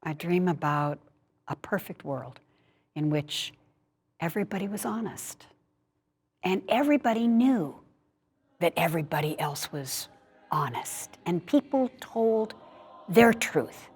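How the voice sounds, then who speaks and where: An actress playing an earnest, reflective senior woman, speaking deliberately in an expressive dramatic monologue. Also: fairly low pitch, slightly rough. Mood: earnest, impassioned. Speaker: actor reading seniors' writing.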